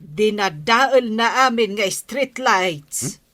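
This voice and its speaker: Woman acting a bright-voiced, fretful village woman. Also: medium pitch, animated, extremely slow, exaggerated voice. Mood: exasperated. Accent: Ilocano. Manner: complaining. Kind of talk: Ilocano comedy radio drama acting.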